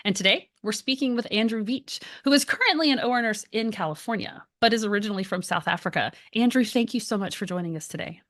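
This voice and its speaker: A woman's voice: medium pitch, quick pace, few pauses, fairly bright tone. A woman hosting an interview, upbeat and eager as she introduces and questions her guest. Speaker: host.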